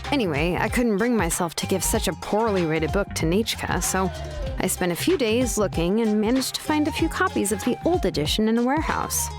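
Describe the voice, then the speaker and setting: Woman as a chill considerate young game character, normal pitch, bit of music behind. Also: natural voice. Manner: casual, explanatory. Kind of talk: video game character acting.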